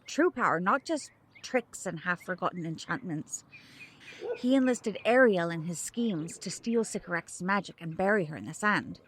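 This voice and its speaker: A woman playing a protective, gentle mother of a magical bloodline in an audio drama, with measured, solemn phrasing. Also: fairly low pitch, expressive, a little hiss or hum, rough recording. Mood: solemn, grave.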